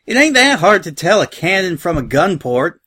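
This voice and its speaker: A man voicing a prickly, rough-and-tumble female pony pirate with a rural American twang, brash and blunt, in an audio story. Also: high voice, sing-song, normal speed, sharp tone, no echo, voice pushed up, exaggerated voice. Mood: exasperated.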